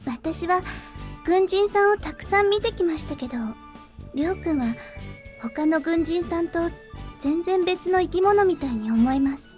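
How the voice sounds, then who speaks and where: A woman voicing a polite, big-sisterly young maid who looks like a teenager, gently chiding in a Japanese visual novel. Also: very high-pitched, lively intonation, fast. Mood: earnest, friendly. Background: music.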